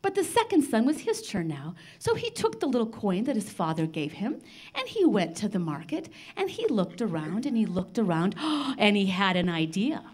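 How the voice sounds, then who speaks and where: An older woman telling a children's story live in an expressive, theatrical manner with dramatic pauses. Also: medium pitch, slightly rough. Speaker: storyteller on a children's radio show. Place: large library room, live before a seated audience. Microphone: handheld microphone.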